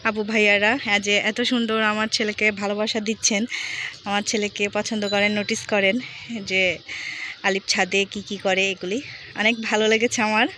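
A woman's voice: medium pitch, little pitch movement, a little fast, pushed and bright. A woman with a Bangladeshi accent chatting casually to viewers as a rooftop garden vlogger, explaining things with some repetition. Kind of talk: casual vlog chat to viewers. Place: open rooftop garden in a city. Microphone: handheld phone behind the camera. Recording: noisy outdoor phone recording.

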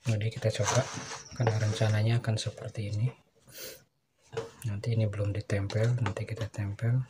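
A man hosting a casual do-it-yourself tutorial, calmly explaining each step as he works on a camping lantern at a table indoors. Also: on the low side, fairly quick, noisy background. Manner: plain, explaining while working with his hands. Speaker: DIY camping channel host.

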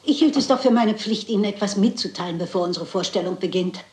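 A woman's voice: medium pitch, fast talker, darkish tone, natural voice. Elderly lady sleuth played by an actress: composed, polite, resolute, crime radio play, roomy with faint noise. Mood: composed, determined. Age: older. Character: shrewd, composed elderly lady amateur detective.

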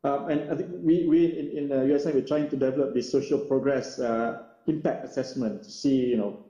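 Hesitant male moderator, dark dull audio. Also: medium pitch, fairly even intonation, a little fast. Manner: hesitant, many fillers. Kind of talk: moderating audience questions. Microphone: video call. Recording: dull, roomy video call audio.

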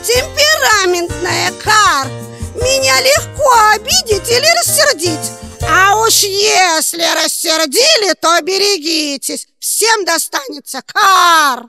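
A woman's voice: very high voice, lively intonation, slow pace, creaky, over music, full character voice. A woman voicing a touchy, temperamental female crow in a children's puppet show, squawking and punctuating her talk with caws. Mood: huffy.

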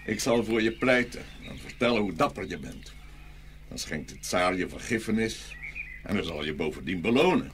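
A man's voice: sits low, expressive, normal speed, a bit bright, a little hiss or hum. A man playing a grand, good-natured, sleepy tsar in a dramatised fairy tale, with theatrical exclamations. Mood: hearty, generous.

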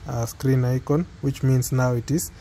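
Male tutorial presenter, Kenyan accent, mid-pitched, hesitant unscripted delivery, faintly noisy phone capture. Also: fairly even intonation, moderate pace, dark tone. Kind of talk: unscripted step-by-step tech tutorial.

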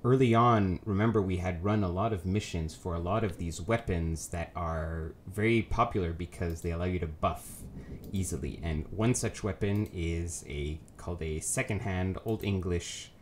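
A man's voice: low-pitched, normal speed, a little hiss or hum. A man with a cold and a nasal voice, giving rambling, casual commentary over his gameplay as a let's play host, with lots of ums and self-corrections.

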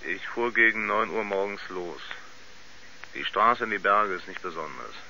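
Country sheriff, very hard-edged tone, halting folksy delivery, slightly dull vintage radio play recording. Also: male voice, on the low side, gravelly, pushed and bright, a little hiss or hum, exaggerated voice. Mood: puzzled, matter-of-fact. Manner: folksy, halting, thoughtful witness account. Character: plain-spoken rural American sheriff giving testimony. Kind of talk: 1970s German radio play acting.

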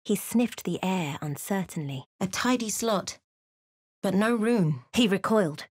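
An actress playing a thoughtful adventurer in a video game, with a measured, dramatic storytelling delivery. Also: female voice, mid-range voice, lively intonation, darkish tone, no echo, studio quality. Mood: alert, observant. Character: thoughtful adventurer woman recounting her journey. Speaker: voice actor for a player character. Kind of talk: scripted game narration and character lines.

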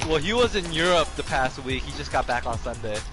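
A man's voice: on the high side, expressive, a little fast. A young man bantering loosely and profanely with friends while playing an online game. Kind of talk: casual gaming chat. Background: game music.

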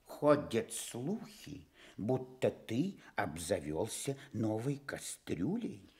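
An actor playing a pompous, fault-finding male stork in a Soviet radio fairy tale, drawing out his words in a husky voice. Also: lowish voice, wildly swooping pitch, darkish tone, exaggerated voice. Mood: pompous, disapproving. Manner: drawn-out, pompous. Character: fussy, self-important male stork, grumbling critic.

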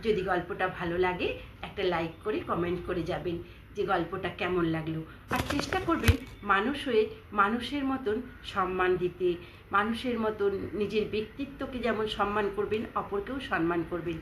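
A woman's voice: mid-range voice, normal speed. A woman telling a simple story to listeners and ending with a moral. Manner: warm address to listeners, moralizing close. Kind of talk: children's story narration with a moral. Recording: echoey room with faint noise.

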